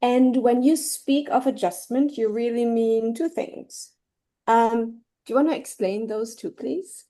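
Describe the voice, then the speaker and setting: A woman's voice: a little high, measured pace, warm tone. A woman co-hosting a podcast interview, asking encouraging questions over a video call. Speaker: podcast co-host.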